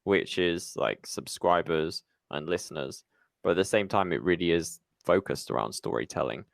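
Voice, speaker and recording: A man's voice: sits low, moderate pace, gravelly, no room sound, clean studio sound. A man hosting a podcast, interviewing conversationally with loose, tentative phrasing. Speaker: podcast host.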